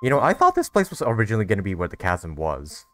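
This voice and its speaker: A woman's voice: a bass voice, huge pitch swings, fast talker. A woman streamer giving casual commentary as she plays a game. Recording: faint game music behind.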